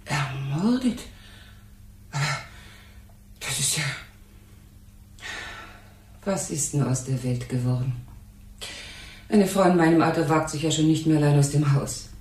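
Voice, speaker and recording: Low-voiced genteel older lady. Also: unhurried, long pauses, a little mellow. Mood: dismayed. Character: genteel, anxious older mother of a store boss. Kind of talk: German crime radio drama acting. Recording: roomy echo with faint noise.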